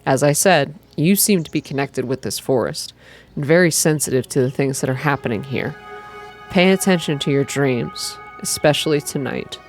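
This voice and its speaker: A grave male activist from a visual novel, played by a woman. He speaks low and measured, with mystical conviction, over faint music. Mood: earnest. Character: grave, mystical man, passionate forest activist.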